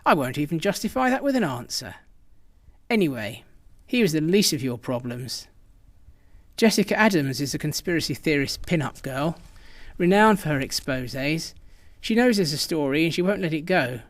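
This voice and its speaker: An actor plays a ruthless male intelligence chief in a radio drama. His voice sits a little high for a man, and he stonewalls with brusque, dismissive confidence. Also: very animated, normal speed. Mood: stern, urgent.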